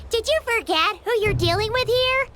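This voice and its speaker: A woman voicing a tiny, chirpy, cocky fairy companion in an animated video game, childlike and excitable. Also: very noisy, full character voice. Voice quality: squeaky, childlike. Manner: excitable, cheeky, speaks of self in third person. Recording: game music under the voice. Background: prominent music.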